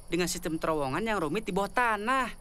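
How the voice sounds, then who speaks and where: A woman voicing an earnest, knowledgeable young sidekick who explains things matter-of-factly in an animated comedy. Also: mid-range voice, quick pace, very noisy, natural voice.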